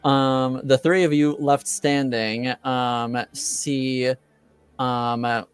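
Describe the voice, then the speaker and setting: A man acting as game master, improvising tabletop game narration with a hesitant, halting delivery. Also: mid-range voice, level tone, smooth, dry recording.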